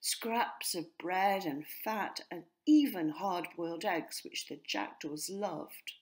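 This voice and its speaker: A woman narrating a children's story as its author, expressive and clearly scripted. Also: fairly low pitch, slow pace. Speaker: author reading her own story.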